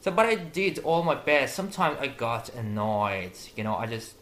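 A man's voice: mid-range voice, sing-song, moderate pace, fairly bright tone. Guy whose first language is close to turkish, stumbling thru english, lots of um and repeats. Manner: hesitant, many restarts and fillers. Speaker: English learner asking questions. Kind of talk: casual conversation.

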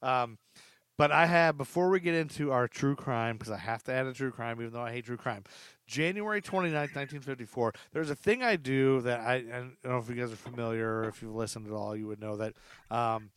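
A man's voice: medium pitch, brisk, fairly bright tone, clean tone, no room sound. A middle-aged man hosting a podcast in casual chat, with a rambling, self-deprecating way of talking. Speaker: podcast host.

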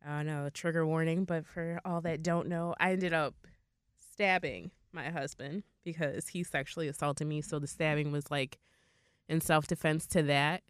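A low-pitched young woman talks in a loose, candid way, heavy with "like" fillers and casual swearing. She is a podcast co-host. The sound is close and dry. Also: steady tone, moderate pace, clean tone, no room sound. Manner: blunt, swears freely, many "like" fillers.

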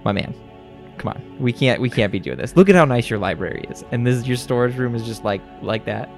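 A man's voice: mid-range voice, animated, fairly quick, vocal fry, faint music behind. A man playing a tabletop game, speaking casually and partly in character, blunt and swearing with playful exasperation. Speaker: player.

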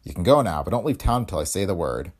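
A man voicing a gruff, shrewd police chief in a hardboiled detective story, blunt and intimidating with grudging amusement. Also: deep voice, expressive, fast, a little mellow. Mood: grudging, warning. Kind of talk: hardboiled detective audiobook dialogue.

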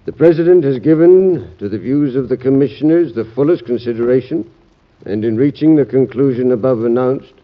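Solemn, formal statesman played by a male actor, medium pitch, deliberate delivery, muffled vintage radio drama. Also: close to an everyday voice. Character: solemn male statesman dictating an official dispatch. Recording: muffled old radio recording.

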